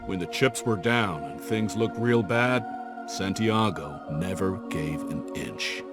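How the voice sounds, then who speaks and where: A folksy older adventurer tells his tale in a low voice with slangy, reflective phrasing. It sounds like a cinematic game cutscene, with music clearly playing behind him. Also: slow pace. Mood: solemn. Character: folksy older male adventurer, warm storytelling uncle type.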